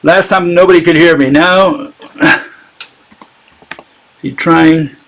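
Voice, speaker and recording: A man's voice: fairly high pitch, fairly even intonation, slow, a bit bright. Sarcastic man hosting a live stream. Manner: sarcastic, chats with viewers. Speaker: channel host giving a critique. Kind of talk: casual live-streamed lecture.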